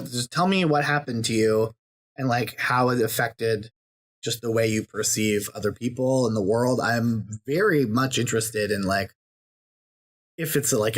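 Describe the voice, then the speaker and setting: A man's voice: medium pitch, measured pace. Hesitant man chatting as podcast guest. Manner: thoughtful, hesitant, many ums. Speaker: podcast guest, YouTube creator. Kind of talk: casual podcast chat.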